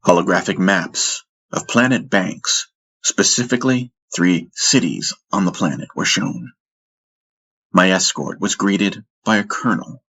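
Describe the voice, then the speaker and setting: A man narrating first-person fiction in a measured, dramatic storytelling manner. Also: deep voice, a bit bright. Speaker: audiobook narrator.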